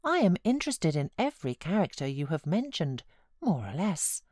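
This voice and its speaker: A British woman voicing a leisured, politely insistent gentleman in an audiobook. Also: fairly low pitch, sing-song, normal speed, no room sound, clean studio sound. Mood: eager, insistent.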